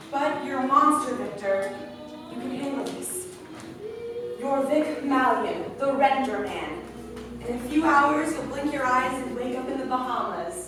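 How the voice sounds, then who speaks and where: Loud music plays behind a distant, very echoey recording of a woman acting a coaxing female boss in a stage drama. She is reassuring, slow and fairly high-voiced. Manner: unhurried, reassuring. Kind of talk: staged reading of a drama script.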